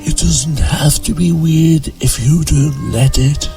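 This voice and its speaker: A man playing a gentle, kindly elderly wizard headmaster in an amateur audio drama. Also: fairly high pitch, slow pace, gravelly. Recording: home recording under loud music.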